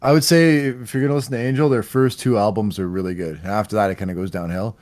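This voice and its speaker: A man co-hosting a music podcast, presenting a countdown of songs in casual chat, relaxed and laughing now and then. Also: lowish voice, fast. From Canada. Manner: relaxed, laughing, slight stumbles over words.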